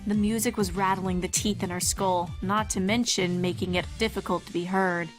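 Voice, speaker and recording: A woman's voice: mid-range voice, fairly even intonation, moderate pace, soft background music. A woman giving a scripted narration of a fan fiction horror story.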